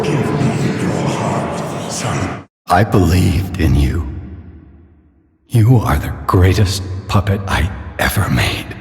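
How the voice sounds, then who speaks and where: Elderly puppet-maker father, video game: slow, deep, theatrical, paternal with an obsessive menace. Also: vocal fry, full character voice. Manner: theatrical, paternal, measured, solemn. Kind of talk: dramatic video game character acting. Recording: long cavernous reverb.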